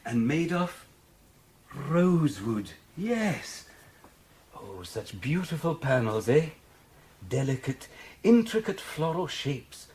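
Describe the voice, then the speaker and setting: A slow, lilting kindly craftsman. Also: medium pitch. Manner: gentle, coaxing, savouring words. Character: kindly, admiring old-fashioned piano tuner coaxing a child. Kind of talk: period audio drama acting.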